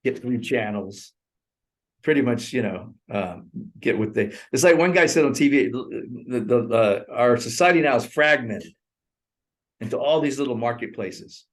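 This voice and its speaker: A man in his sixties co-hosting a casual movie review over a remote call, talking in a rambling, animated way and laughing often. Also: mid-range voice, moderate pace. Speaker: co-host. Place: in Thailand.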